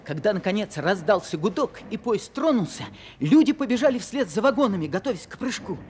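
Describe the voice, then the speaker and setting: An actor in a Soviet radio drama playing an earnest teenage boy who narrates his own story in a measured, storytelling manner. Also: high-pitched, big pitch swings, a little mellow, slight background noise.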